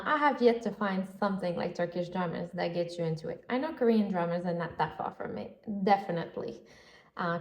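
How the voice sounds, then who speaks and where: A woman hosting her own podcast, talking casually and gushing with lots of fillers. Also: mid-range voice. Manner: chatty and gushing, with frequent fillers and laughs. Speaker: podcast host. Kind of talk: casual solo podcast chat. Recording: roomy echo.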